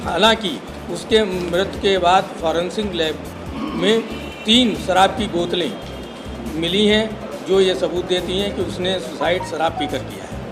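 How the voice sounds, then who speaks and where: An older man giving a statement in an interview, speaking in deliberate, halting phrases. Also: high voice, rough recording. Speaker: interviewee, father-in-law giving his side. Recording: loud music over the speech. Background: loud music.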